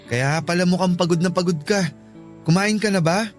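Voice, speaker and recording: A male actor voices a protective young boyfriend in a radio drama. The voice is strikingly high for a man. He speaks earnestly and reassuringly, with soft music underneath. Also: normal speed, lightly acted. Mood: tender. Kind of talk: Tagalog radio drama acting.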